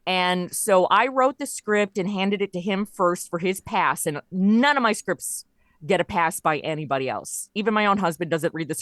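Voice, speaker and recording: Woman guest just chatting away in an interview, kinda low voice, super dry recording, storyteller type. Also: no echo. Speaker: interview guest, audio drama writer and producer. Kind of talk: casual interview chat.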